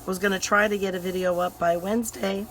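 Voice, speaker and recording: A woman hosting a crafting channel and chatting casually while she works. Her voice is hoarse and still recovering, and she rambles with many fillers and restarts. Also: medium pitch, steady tone, quick pace, a bit bright, a little hiss or hum. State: hoarse, voice recovering from sinus infection.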